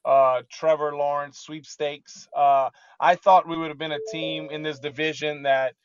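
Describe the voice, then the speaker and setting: A talkative male sports host in casual conversation, higher than usual for a man. He often stumbles and repeats words as he builds a point. His voice sounds a bit muffled and very dry. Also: level tone, clean tone, no room sound. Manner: fast, repeats words at the start of lines.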